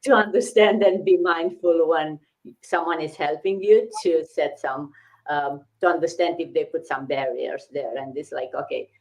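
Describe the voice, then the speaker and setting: A woman's voice: deep voice, moderate pace, a little mellow, poor audio. A woman hosting a casual business talk, encouraging and thinking aloud with frequent fillers and "right?" checks. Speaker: host.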